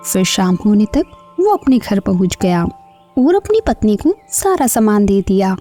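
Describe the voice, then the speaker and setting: A woman narrates a scripted story for children. Her mid-range voice is mellow and moves expressively up and down. Faint music plays behind her. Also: mid-range voice, big pitch swings, unhurried.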